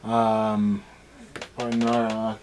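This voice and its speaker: A man's voice: lowish voice, monotone, slow, a little mellow, slight background noise. A man giving a casual, unscripted episode review as a co-host, with a sarcastic, snarky way of talking. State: tired.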